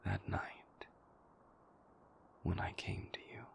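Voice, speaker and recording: A man playing a brooding, weary vampire in an intimate audio roleplay, with a breathy, creaky voice and halting, deliberate, formally worded confessions. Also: whispered, close to an everyday voice. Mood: somber, measured. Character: brooding, weary male vampire, a king's conflicted enforcer and lover.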